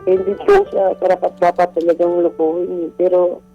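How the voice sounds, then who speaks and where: A woman's voice: fairly low pitch, little pitch movement, brisk, muffled recording, faint music behind. A woman calling a radio show, talking casually and confessionally in an earnest, hesitant way. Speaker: radio show caller sharing a secret.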